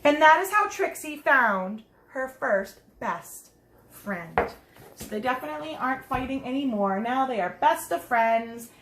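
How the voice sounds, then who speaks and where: Lady reading a kids picture book, high voice, chatty n expressive, kinda echoey room. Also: measured pace. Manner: expressive, asks listeners questions, explains pictures simply. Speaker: teacher reading a picture book aloud to children. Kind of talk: read-aloud storytelling with chatty asides to young children. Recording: roomy echo, some background noise.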